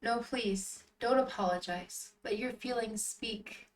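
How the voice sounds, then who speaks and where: A woman voicing a calm, patient young man in a story, softly reassuring his best friend. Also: mid-range voice, normal speed, far from the mic, faint music behind, lightly acted, poor audio. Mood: tender, soothing. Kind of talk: acted story dialogue.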